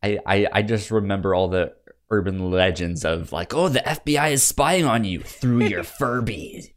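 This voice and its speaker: A man co-hosting a podcast, chatting casually about films in a halting way, often repeating the starts of words. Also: on the low side. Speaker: podcast co-host. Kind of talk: casual film discussion.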